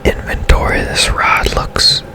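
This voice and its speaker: A man speaks entirely in a breathy, unvoiced whisper. He hosts ASMR gameplay with casual, halting commentary, taking his time at a very slow, unhurried pace. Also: bright, forceful tone. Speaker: gaming channel host.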